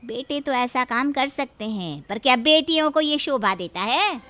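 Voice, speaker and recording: Woman doing a bossy bitter middle-aged saas for a cartoon, piercing highish voice, always scolding and threatening. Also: expressive, measured pace, sharp tone, full character voice. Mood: defensive, indignant. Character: domineering, greedy middle-aged mother-in-law, bitter and scolding. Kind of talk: Hindi cartoon story acting.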